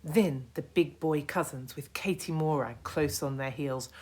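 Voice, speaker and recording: A woman narrating a children's storybook in a deliberate, expressive way, as a storyteller to young listeners. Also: low voice, slightly rough. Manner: deliberate, expressive, hushed at times.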